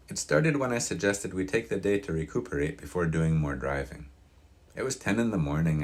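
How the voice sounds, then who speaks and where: Audiobook narrator: male, very deep bass register with a rough husky edge, reading fiction aloud.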